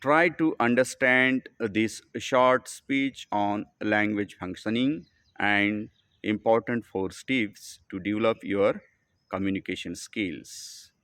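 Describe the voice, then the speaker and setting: A man with an Indian accent giving a spoken English lesson. He talks in slow, simple, deliberate sentences and often calls the listener "my dear friend.". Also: fairly low pitch, a bit bright, no echo. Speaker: English teacher.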